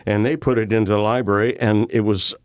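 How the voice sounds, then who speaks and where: A man chatting on a community radio show, telling old film stories in a slow, deliberate way. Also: lowish voice, steady tone, clear, resonant tone, no echo, studio quality. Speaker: radio show host or guest. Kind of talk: casual radio chat.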